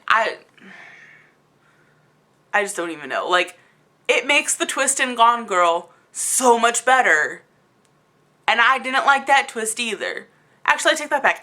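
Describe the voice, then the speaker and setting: A woman's voice: on the high side, pushed and bright. A woman giving a casual, rambling video review in an exasperated, emphatic and incredulous manner. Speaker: book review vlogger.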